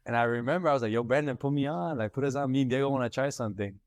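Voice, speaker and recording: A man's voice: mid-range voice, very fast. A young man, a rapper guest, telling stories in loose casual chat full of slang. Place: studio room.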